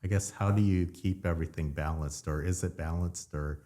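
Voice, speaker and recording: A man hosts a relaxed podcast interview, asking questions in a friendly, halting way that often stops and restarts. His voice is very deep. He keeps a casual, conversational tone throughout. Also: noisy background. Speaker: podcast host.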